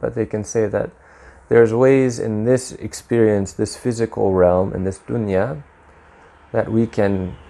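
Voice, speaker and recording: A man, a Sufi practitioner, giving measured, explanatory interview answers, dropping in Arabic religious terms. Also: on the low side, gravelly, mellow. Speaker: guest, Mevlevi Sufi practitioner.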